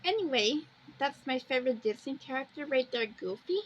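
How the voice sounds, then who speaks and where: A woman giving unscripted, halting commentary on pictures, rambling a little and repeating phrases. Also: sits high, expressive. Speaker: video host. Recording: dull lo-fi recording with background noise.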